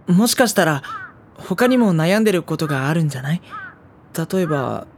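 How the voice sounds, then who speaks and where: A woman playing a gentle, diffident high school boy with a boyish voice in an anime audio drama. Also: expressive, fairly quick, slight background noise. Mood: gentle, curious. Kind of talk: anime audio drama dialogue and inner monologue.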